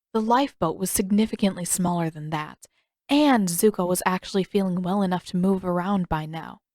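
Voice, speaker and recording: A woman's voice: lowish voice, darkish tone, no echo, studio quality. A woman reading scripted fiction as an audiobook narrator.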